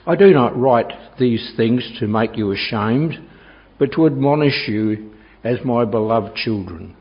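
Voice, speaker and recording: Slow, solemn reader. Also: male voice, medium pitch. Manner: measured and solemn. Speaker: scripture reader. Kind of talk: Bible reading. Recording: dull lo-fi church recording.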